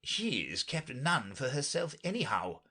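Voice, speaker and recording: A man's voice: medium pitch, big pitch swings, a little slow, bright, forceful tone, breathy edge, voice pushed up, heavily acted. A man voicing a tired, grumpy great lion from a storybook, with a weary, growling drawl. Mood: gruff. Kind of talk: storybook character reading.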